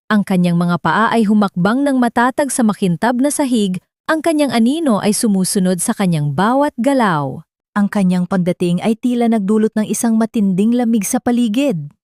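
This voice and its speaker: A woman's voice: mid-range voice, a little mellow, no room sound. Filipino woman narrating, close dry sound. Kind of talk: scripted dramatic audio story narration.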